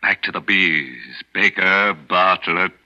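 A man's voice: deep voice, fairly even intonation, slow pace, pushed and bright, exaggerated voice. An actor playing a mocking male horror host who jokes darkly and chuckles, in 1940s radio acting. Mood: sly. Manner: chuckling, teasing, darkly joking. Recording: muffled 1940s radio broadcast with music.

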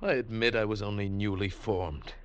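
A man's voice: fairly low pitch, steady tone, normal speed, slight background noise, lightly acted. An actor playing a desperate, hot-tempered trapped man, in a theatrical mid-century radio drama style. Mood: haunted narration. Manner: intense, theatrical. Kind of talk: 1940s-50s radio drama acting and narration. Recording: old radio broadcast with music underneath.

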